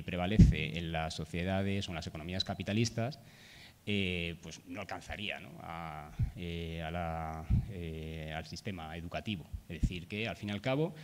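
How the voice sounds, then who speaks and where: A man talks through a conference presentation at a table microphone. His voice sits somewhat low, and he pauses often, ending nearly every phrase with a questioning "¿no?". The event room rings with a long reverb. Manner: hesitant, many filler "¿no?" tags. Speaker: speaker. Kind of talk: conference talk.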